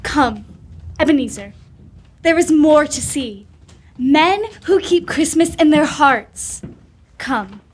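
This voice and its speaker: A young woman playing a solemn, commanding spirit guide in a radio drama, with measured delivery. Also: high-pitched, lively intonation. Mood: solemn, beckoning. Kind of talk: old-time radio drama acting.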